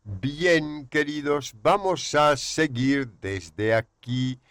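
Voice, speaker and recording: A man whose first language is Spanish, teaching a French grammar lesson. He reads the rules slowly, then drifts into quick joking asides. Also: on the high side, animated, background noise.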